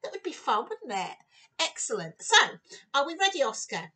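A woman in her fifties giving an expressive storytime read-aloud for young children, with exclamations and chatty asides. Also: high-pitched, moderate pace, recorded from a distance. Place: small room at home, indoors.

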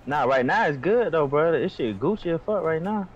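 A man's voice: a little high, expressive, moderate pace. Slangy man on dull game chat. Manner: slangy, profane. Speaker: online game player. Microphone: online voice chat. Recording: dull lo-fi voice chat, faint noise.

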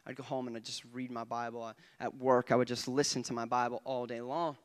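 A young man, a guest preacher, giving an excitable testimony in church on a handheld mic, often laughing and swinging between quiet asides and loud bursts. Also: medium pitch, brisk, clean tone. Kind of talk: testimony sermon. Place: church stage before a congregation. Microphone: handheld microphone.

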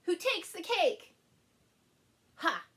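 A middle-aged woman reads a picture book aloud to small children in an expressive, playful way, recorded indoors by a camera a short distance in front of her. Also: very high-pitched. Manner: animated, slow, with playful sound words and exclamations. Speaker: storyteller and channel host. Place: small furnished room indoors.

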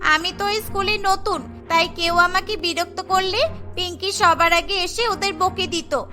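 Woman playing high-voiced earnest schoolgirl. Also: fairly even intonation, normal speed, music in the background. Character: kind, loyal young schoolgirl daughter. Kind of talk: cartoon dialogue.

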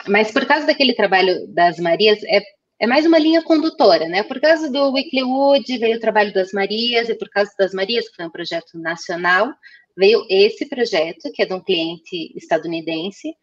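Chatty young woman on a headset call. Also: mid-range voice. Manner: chatty, many fillers and restarts. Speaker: guest designer. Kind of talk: casual video-call interview. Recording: slightly dull lo-fi call audio.

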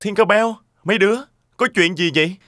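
Cartoon boy hero, brave and earnest, bright forward tone, very dry sound. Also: high voice, a little fast, no echo. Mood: worried. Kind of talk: dubbed children's cartoon acting.

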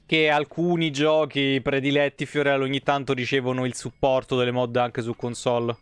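Smooth-voiced Roman man streaming a game. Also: mid-range voice, little pitch movement, normal speed, no room sound. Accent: Roman Italian. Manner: colloquial, slangy, rambling asides. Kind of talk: casual live game commentary, chatting with viewers.

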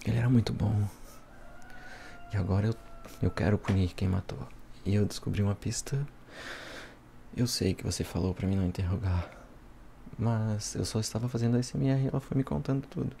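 A young man acts out a smug, playful devil with a Brazilian accent for an ASMR roleplay. The voice is low and gravelly, soft and close to the mic, with a teasing, casual delivery. There is faint noise behind it. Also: brisk, long pauses. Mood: intrigued, playful. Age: young adult. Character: smug, playful devil helping police as a consultant. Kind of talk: ASMR roleplay, soft talk mixed with whispering.

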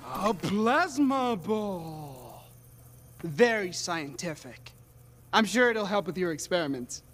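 A man playing a cocky, scheming younger brother in a video game, excitable, boastful and prone to wheedling. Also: high voice, exaggerated voice.

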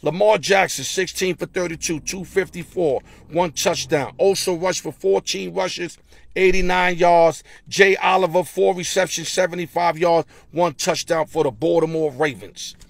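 A middle-aged man hosting a casual NFL recap from his parked car, speaking into a phone at arm's length. He reads stats bluntly and fast, with slang and stammered repeats. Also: sits high, fairly even intonation, vocal fry, dry recording. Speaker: sports betting show host. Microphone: phone held at arm's length.

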